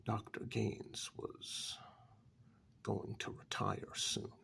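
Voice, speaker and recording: A man in a soft-spoken ASMR story role-play, narrating a horror tale in a breathy, gravelly half-whisper as an asylum director, pausing between short phrases. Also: on the low side, completely flat delivery. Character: asylum director calmly recounting eerie past events. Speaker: narrator telling a horror tale in first person.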